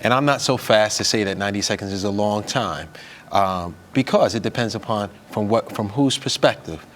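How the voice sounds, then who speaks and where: A man's voice: lowish voice, moderate pace, fairly bright tone, a little hiss or hum. A man speaking as an attorney guest on a studio panel, arguing with repetition and rhetorical questions. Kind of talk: televised panel commentary. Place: television studio.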